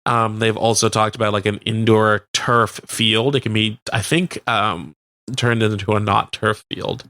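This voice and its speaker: A man's voice: lowish voice, steady tone, unhurried, bright, forceful tone, no echo. A man with a Canadian accent co-hosting a casual local radio news chat in an informal, slightly hesitant and lightly joking way. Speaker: radio co-host.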